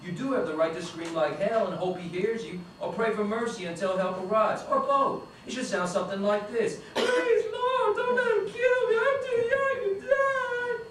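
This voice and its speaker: A man's voice: extremely high pitch, animated, moderate pace, few pauses. An actor reading a cocky, wisecracking male cop who jokes and swaggers through his lines, at a table read. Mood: taunting. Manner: joking, swaggering banter. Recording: echoey room, distant mic, background noise.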